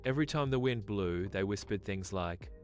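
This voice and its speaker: A woman's voice: rumbling low, lively intonation, normal speed, faint music behind. A woman narrating a scripted bedtime story for children.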